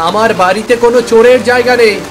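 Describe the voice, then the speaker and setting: A man's voice: extremely high pitch, fairly even intonation, racing. A man voicing a stern, accusing younger brother in a cartoon moral story, scolding and giving orders. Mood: accusing, angry. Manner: scolding, commanding. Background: music.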